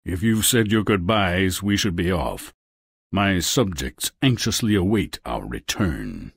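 A smug, courtly frog king from a fairy-tale game. His low voice swoops theatrically as he wheedles, and he takes his time over the words. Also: animated, unhurried, gravelly, slightly rough, no room sound, full character voice. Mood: regal. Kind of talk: video game fairy-tale character acting.

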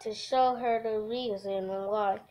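A woman livestreaming a visual novel, reading the text aloud haltingly and adding goofy, casual asides. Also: mid-range voice, steady tone, darkish tone.

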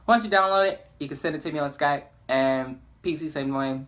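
A young man talks in an informal, rambling way, like a gamer telling stories to viewers. His delivery is casual and loose, with animated bits when he acts things out. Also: fairly high pitch, brisk. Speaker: YouTube gamer and storyteller. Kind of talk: casual storytelling over gameplay.

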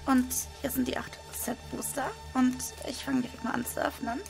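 Hoarse woman with a gravelly voice. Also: high-pitched, moderate pace, over music. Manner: relaxed, with frequent hesitation fillers. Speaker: card unboxing video host. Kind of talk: casual unboxing commentary. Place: indoors at a desk, hands over table. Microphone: small camera-mounted microphone.

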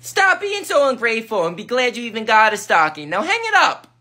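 A woman's voice: fairly high pitch, huge pitch swings, brisk, bright, forceful tone, voice pushed down, full character voice. A woman voicing a stern, impatient mother who scolds her kids in a comedy skit. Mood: curt. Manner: curt, scolding. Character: stern, impatient mom, dismissive.

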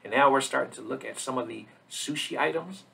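A man with a husky, slightly gravelly voice giving a casual, rambling tour narration as a vlog host, with hesitant pauses. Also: medium pitch, a bit bright, slight background noise. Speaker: travel vlogger, host.

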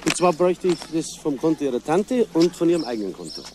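Brisk, lively police officer. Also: male voice, a little high, background noise. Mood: politely insistent. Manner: official, persuasive. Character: brisk, polite but insistent male police officer. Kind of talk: German crime radio play acting.

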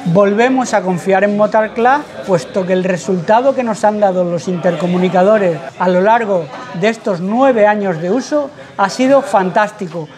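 A man narrates a hands-on tutorial as a presenter, explaining things with halting pauses. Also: high-pitched. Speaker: presenter of a motorcycle channel. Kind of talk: tutorial voice-over commentary.

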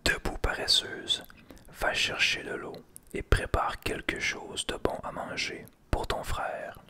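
A man softly whispering the lines of a cruel old child-eating witch in a storybook tale. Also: slow, close to an everyday voice. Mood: commanding. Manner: whispered throughout.

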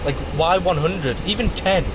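A man's voice: high voice, moderate pace, background noise. A young man sitting in a parked car, teasing his friend with dry comebacks in casual banter. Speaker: streamer's friend.

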